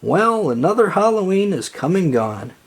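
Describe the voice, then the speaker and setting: A reader doing a glum little boy, husky. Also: medium pitch, sing-song, normal speed, pitched above the performer's own voice. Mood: resigned. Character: glum, unlucky, disappointed young boy.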